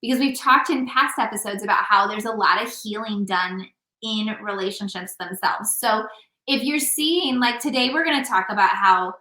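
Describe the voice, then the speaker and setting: Woman, podcast host, intimacy coach, guiding and a bit scattered, bright tense voice, echoey room. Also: mid-range voice. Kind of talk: casual podcast chat.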